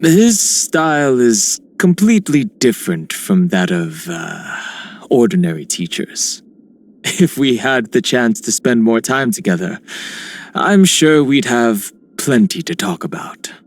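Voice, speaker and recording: A man's voice: mid-range voice, sing-song, takes it slowly. A man voicing a composed, courteous guardian hero in a video game, speaking formally and politely. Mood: polite, thoughtful. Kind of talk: scripted game character lines.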